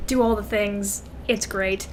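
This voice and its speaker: A woman's voice: mid-range voice, fairly even intonation, unhurried, slight background noise, low-quality recording. A young woman co-hosting a podcast in casual comedic chat, wry and exclamatory, often laughing through her words. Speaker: podcast co-host.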